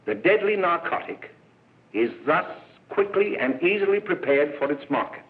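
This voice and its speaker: An actor playing a stern, alarmist middle-aged doctor lecturing parents in emphatic, declamatory 1930s film oratory. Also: male voice, a little high, animated, moderate pace, close to an everyday voice. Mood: solemn, instructive. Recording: tinny narrow-band 1930s film soundtrack.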